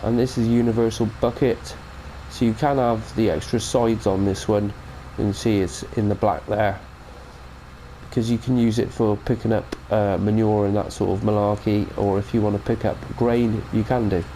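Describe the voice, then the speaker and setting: Low, mellow British man with a cold. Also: steady tone, normal speed, slight background noise, low-quality recording. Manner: chatty, rambling, self-correcting. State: has a cold. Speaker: gaming channel host. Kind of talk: casual mod review commentary.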